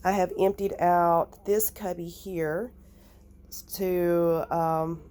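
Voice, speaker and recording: A woman with a raspy, nasal, hoarse voice, talking through her crafting clean-out in an unhurried, thinking-aloud vlog. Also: lowish voice, steady tone, mellow. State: recovering from illness, hoarse. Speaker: crafting vlogger. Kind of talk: casual vlog talk and voiceover.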